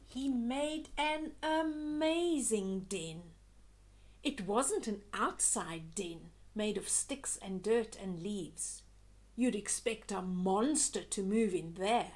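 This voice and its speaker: An older woman reading a children's picture book aloud expressively, pausing to chat to young listeners about the pictures. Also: mid-range voice, animated, darkish tone.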